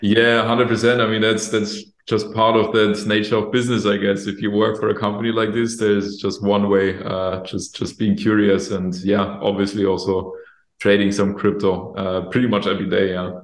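A man's voice: fairly low pitch, flat delivery, moderate pace. A man from Germany with a German accent, in his late twenties or early thirties, answering interview questions in an easygoing way and often repeating words while he thinks, over a video call. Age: late 20s to early 30s. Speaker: guest, company executive. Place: office room with plain wall. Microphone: video call, webcam. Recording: roomy echo on a video call.

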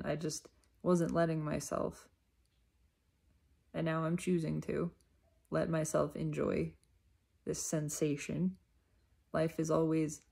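Woman, low voice, vocal fry, reflective self-help musing, unhurried, emphatic repetition. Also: darkish tone. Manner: unhurried, emphatic, repeating phrases.

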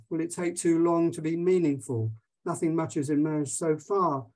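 Steady male webinar presenter from the UK, reading his script, mellow, slightly high-pitched. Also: rough recording. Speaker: webinar speaker. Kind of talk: prepared presentation read aloud.

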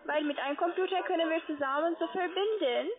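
High-pitched woman with foreign accent, on phone. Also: fast, over a noisy crowd. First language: not German. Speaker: call-centre scammer. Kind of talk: halting phone sales pitch. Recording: tinny phone-like line.

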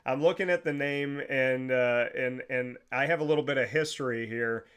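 A man's voice: mid-range voice, normal speed, fairly bright tone, clear, resonant tone. A man in his thirties hosting a sports interview over a video call, chatty and full of restarts, at a studio mic in a small home office. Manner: chatty, rambling questions with many restarts. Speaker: show host. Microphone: studio mic with headphones.

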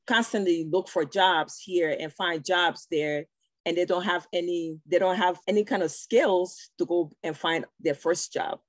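A woman's voice: sits low, a little mellow, no room sound. A middle-aged woman from Liberia, a nonprofit founder, introducing herself on a video call in an earnest, storytelling way. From Liberia, West Africa.

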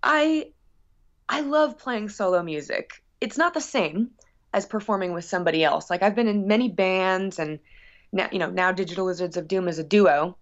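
Woman, medium pitch, musician interviewee, casual conversation, effusive storytelling, slightly muffled lo-fi sound. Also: normal speed. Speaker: musician guest being interviewed.

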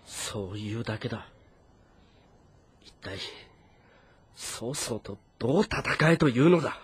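A man's voice: medium pitch, expressive, slow pace, slightly rough, heavily acted. A man playing an anxious, weary fortress commander of ancient China in a dramatic, earnest, brooding way. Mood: brooding, frustrated. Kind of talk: dramatic game voice acting with inner monologue.

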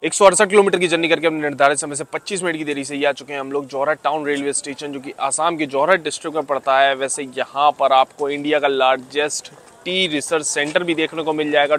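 A chatty man with a fairly high voice, vlogging a train trip and talking straight to his viewers as he goes. Faint train rumble sits behind him on a handheld camera mic. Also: fairly even intonation, quick pace, a bit bright. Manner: chatty, addresses viewers as bhai. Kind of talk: casual vlog narration. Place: aboard a moving train and on station platforms.

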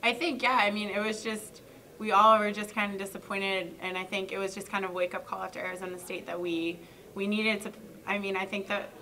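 Bright-voiced young woman athlete. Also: medium pitch, far from the mic. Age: young adult. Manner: relaxed, hedging with "you know" and "I mean". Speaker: college basketball player. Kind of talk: post-game press answers. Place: press conference room. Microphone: table mic.

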